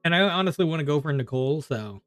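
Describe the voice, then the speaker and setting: Man, game streamer, casual chat, rambling, thinking aloud, slightly high pitch, very dry sound, soft background music. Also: expressive, fast, smooth, dry recording. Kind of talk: casual livestream chat while gaming.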